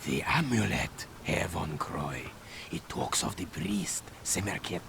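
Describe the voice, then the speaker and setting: An actor playing a hushed, deferential villain's henchman with a creaky, whispery voice in a video game. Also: sits low, expressive, slow, noisy background, heavily acted. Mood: hushed reporting. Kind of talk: video game cutscene acting.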